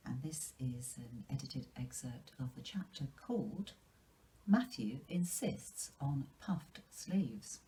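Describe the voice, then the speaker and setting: A woman reading a children's classic aloud as a storyteller, in an expressive, measured narrating style. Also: very deep voice, far from the mic, slight background noise, poor audio.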